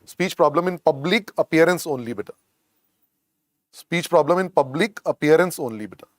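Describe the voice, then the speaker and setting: A man's voice: a little high, expressive, moderate pace, dry recording, studio quality. A man with an Indian English accent teaching a medical exam class, drilling each point over and over and calling students "beta". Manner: repetitive, drills phrases, calls students "beta". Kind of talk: classroom lecture. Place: lecture room.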